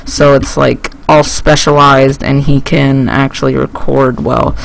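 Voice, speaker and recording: A teenage male gaming YouTuber giving casual, rambling and excitable gameplay commentary, speaking into a headset indoors. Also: mid-range voice, a little slow, creaky, rough recording.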